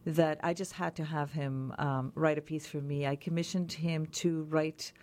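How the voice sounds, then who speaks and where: Low-voiced woman, halting and thoughtful. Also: steady tone, a little mellow. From Israel. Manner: thoughtful, many ums and restarts. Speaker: guest musician, cellist. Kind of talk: radio interview.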